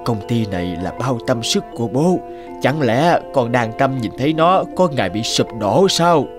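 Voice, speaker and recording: A man voicing an ailing older father in an animated moral tale, grave and persuasive. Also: mid-range voice, normal speed, over music, natural voice. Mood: weary, pleading. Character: ailing older father, weary pleading business patriarch.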